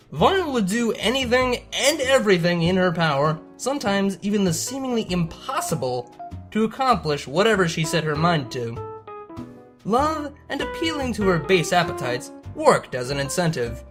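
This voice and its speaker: A young adult woman who narrates fan fiction and chats casually as host of her channel. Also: lowish voice.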